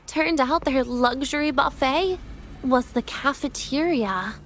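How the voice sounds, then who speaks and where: Aloof young game heroine; deadpan, wryly understated, some noise behind her. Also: high voice, slow pace. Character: aloof, dry-humored young female student, wryly understated. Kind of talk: English video game voice acting.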